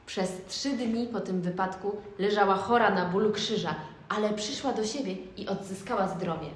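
A woman's voice: mid-range voice, normal speed. A young girl reading scripted storybook narration for an amateur radio play. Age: child or young teen. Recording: echoey room, faint noise.

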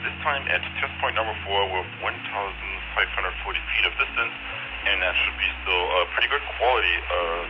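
Low-voiced man over a walkie-talkie radio. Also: a little fast, muffled recording, very noisy. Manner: repeats phrases as radio announcements. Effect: heard over a walkie-talkie radio. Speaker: product reviewer. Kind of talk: casual running test commentary. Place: outdoors, transmitted by two-way radio.

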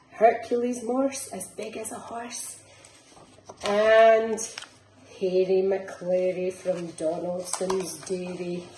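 Scottish lady reading a kids story, slow n rhythmic, bit of room echo and faint noise. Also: fairly high pitch, warm tone. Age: middle-aged. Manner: rhythmic, sing-song storytelling. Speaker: nursery teacher reading storytime. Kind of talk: expressive picture-book read-aloud for young children. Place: small living room, indoors.

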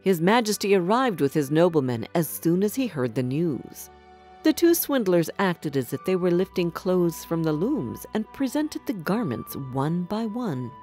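A woman narrating a scripted fairy tale for children in an expressive, measured storytelling manner. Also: fairly low pitch, faint music behind.

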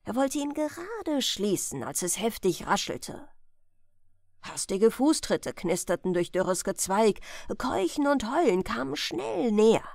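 A woman reading a fairy tale as a bedtime audiobook, in an expressive, measured storytelling manner. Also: mid-range voice, creaky, no room sound, studio quality. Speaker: audiobook reader.

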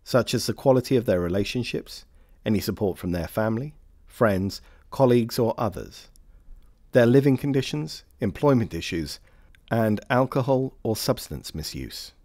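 A man reading scripted instructional narration for a training film in a measured, formal, carefully enunciated way. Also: medium pitch, lively intonation, a little mellow, no room sound, studio quality.

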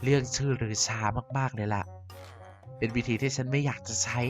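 A woman's voice: extremely low pitch, steady tone, fairly quick, faint music behind. A woman voicing a mischievous ancient vampire queen in a Minecraft cartoon, playfully scheming and muttering to herself.

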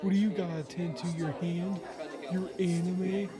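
A man playing a cocky, mocking high school jock bully in a taunting, slangy roleplay monologue. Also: little pitch movement, normal speed, over loud music, over a noisy crowd, natural voice. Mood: smug. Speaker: ASMR roleplay performer. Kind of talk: improvised ASMR roleplay monologue.

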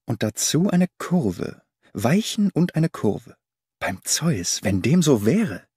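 A man voicing a keen, brooding detective in an audiobook, deliberate and murmuring as he thinks aloud. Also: medium pitch, sing-song, dark tone, slightly rough, no room sound, studio quality, pitched above the performer's own voice, exaggerated voice. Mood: brooding, absorbed. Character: keen, brooding male detective, intense and impatient. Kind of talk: audiobook character reading.

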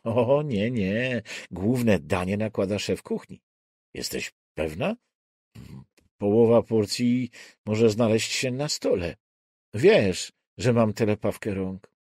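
Guy reading as teasing young woman in an audiobook, ironic, mellow low-key voice. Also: expressive, slow pace, breathy edge, no room sound, studio quality, heavily acted.

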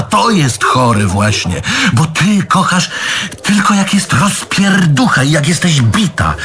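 Stage drama: needy, bitter man in middle age, emphatic and self-correcting, very animated delivery. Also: on the high side, exaggerated voice. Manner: emphatic, self-correcting, swallows curses mid-word. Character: bitter, needy, aggrieved middle-aged male lover, ranting. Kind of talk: stage play monologue.

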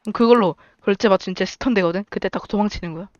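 A young man chattering excitedly and swearing as he plays a game with a friend, prone to shouts and startled yelps. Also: high-pitched, rapid-fire, no echo, dull audio. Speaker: gamer playing with a friend.

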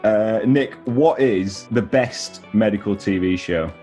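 A man's voice: on the low side, slow. A young man hosting a casual interview over a video call from a room at home. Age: young adult. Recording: video call, music underneath.

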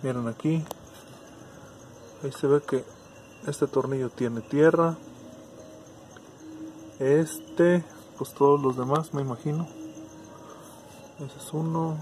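Hombre mexicano explicando reparación de auto, voz algo aguda, ruido de fondo leve. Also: male voice, a little high, fairly even intonation, takes it slowly, long pauses, a little mellow, slight background noise. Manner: casual, thinking aloud with restarts. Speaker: car electronics tutor. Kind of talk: hands-on repair demonstration.

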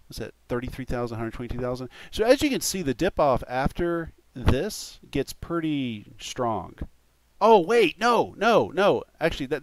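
A man's voice: medium pitch, dry recording, poor audio. A man hosting a casual gaming livestream, talking in an informal, rambling, sweary way into a desktop microphone. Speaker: podcast host and game streamer.

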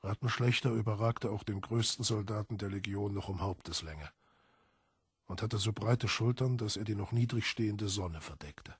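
A man narrating a literary audiobook in a husky voice, with solemn, measured, dramatic emphasis. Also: fairly low pitch, dry recording, studio quality.

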